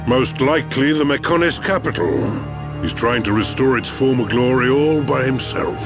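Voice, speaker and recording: A man playing a very old, grave machine-being elder in a game cutscene, with a measured, theatrical delivery. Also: medium pitch, animated, close to an everyday voice. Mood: sorrowful, grave. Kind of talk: dramatic video game cutscene acting. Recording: voice under clear background music.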